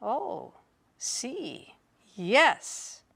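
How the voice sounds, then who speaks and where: A woman's voice: a little high, wildly swooping pitch, drawn-out words, creaky, pushed and bright, breathy edge, voice pushed up, heavily acted. A woman voicing a foolish, boastful young male servant from a storybook, eager and emphatic.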